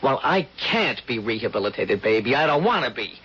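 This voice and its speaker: An actor playing a cynical criminal charmer in a radio drama, taunting and insinuating, on a dull, noisy old recording. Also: male voice, mid-range voice, big pitch swings, brisk, bright, forceful tone, slightly rough, full character voice. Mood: bitter, defiant. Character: smooth, cynical, self-declared bad criminal charmer.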